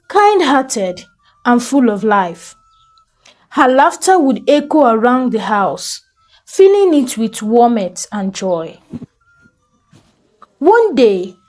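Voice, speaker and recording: A woman storyteller with a fairly high voice. She narrates a folktale slowly and deliberately, with lively swings of pitch and a soft, mellow tone. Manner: slow, expressive, deliberate storytelling. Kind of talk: dramatic scripted folktale narration.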